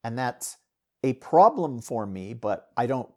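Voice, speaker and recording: A middle-aged man from the United States hosts his own show and talks on his own in a loose, rambling way. He speaks candidly at a middling pitch, and his loudness rises and falls a lot. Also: big pitch swings, unhurried. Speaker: podcast host and author. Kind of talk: casual solo talk.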